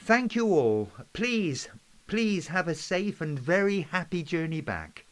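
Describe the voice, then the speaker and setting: A man's voice: high voice, big pitch swings, takes it slowly, exaggerated voice. A man playing a polite, folksy British Prime Minister in an amateur play, chatty and a little hesitant as he gives a public address. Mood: pleased, rallying. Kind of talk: amateur play script performance.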